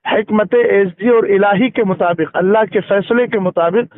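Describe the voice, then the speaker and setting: A man's voice: sits high, normal speed, no room sound. A man, an Islamic scholar, giving a didactic religious explanation with recited verses and checking that his listener follows, over a phone call. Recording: narrow-band recorded phone call.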